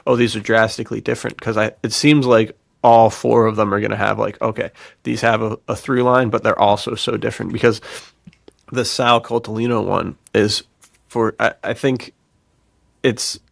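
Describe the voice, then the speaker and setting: Enthusiastic low-voiced man in casual chat. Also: flat delivery, moderate pace, creaky, dry recording, clean studio sound. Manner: effusive, informal, says "dude". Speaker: podcast host and fiction author. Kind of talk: casual podcast chat about writing.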